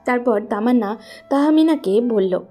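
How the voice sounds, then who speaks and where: Higher-pitched mellow woman storyteller. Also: expressive, moderate pace, soft background music. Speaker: story narrator. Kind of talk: scripted narration of a romantic story.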